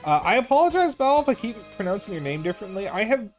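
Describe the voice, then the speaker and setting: A man's voice: high-pitched, very animated, rapid-fire, faint music behind. A man with a Chicago accent chatting while gaming, explaining game lore in detail. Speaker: streamer. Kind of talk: casual gaming chat.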